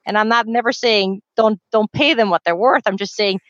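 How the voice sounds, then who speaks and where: A woman's voice: mid-range voice, normal speed, fairly bright tone, no room sound. Talkative woman on dull, dry audio. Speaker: court and conference interpreter, guest. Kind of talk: conversational panel discussion.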